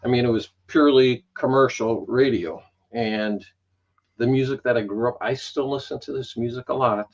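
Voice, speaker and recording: Guy in his 60s, chatty storyteller, kinda dull muffled audio on a call. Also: medium pitch. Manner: storytelling, unhurried, with many "you know" asides. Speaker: guest. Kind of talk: casual chat.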